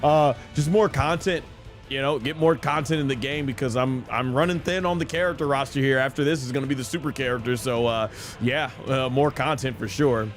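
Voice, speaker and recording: Guy streaming a video game, casual chat, normal pitched voice, faint music behind. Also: a little fast. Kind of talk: casual live gaming commentary.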